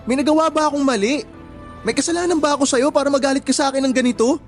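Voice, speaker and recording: A man's voice: extremely high pitch, quick pace, faint music behind. A man acting a desperate jilted boyfriend in a radio drama, pleading and insistent. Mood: hurt, insistent. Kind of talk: emotional radio drama dialogue.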